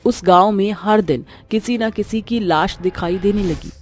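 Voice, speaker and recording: A woman's voice: mid-range voice, a little slow. Female storyteller, mid-range voice, scripted horror narration over a music bed.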